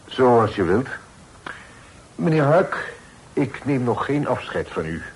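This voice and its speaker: Imposing middle-aged gentleman, radio play. Dry, terse and formal, average pitch, slightly noisy old recording. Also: very animated, slow pace. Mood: coolly formal. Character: curt, imposing middle-aged father, coolly sardonic. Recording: old radio play, faint background hiss.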